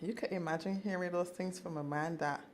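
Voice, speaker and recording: A woman's voice: fairly low pitch, fairly even intonation, a little fast, a little hiss or hum. Emphatic Barbadian woman co-host. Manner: emphatic, repeats points. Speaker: podcast co-host. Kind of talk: casual chat.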